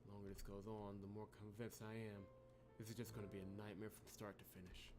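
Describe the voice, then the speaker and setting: A man's voice: fairly low pitch, flat delivery, fast talker, music in the background, voice pushed down, natural voice. A man voicing a wary, gloomy visual novel protagonist, reading the game text aloud in a subdued, muttered, brooding way. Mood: uneasy.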